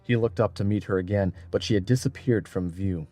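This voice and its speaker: A man narrating an adventure audiobook in a dramatic, measured and solemn scripted style. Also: on the low side, mellow, soft background music.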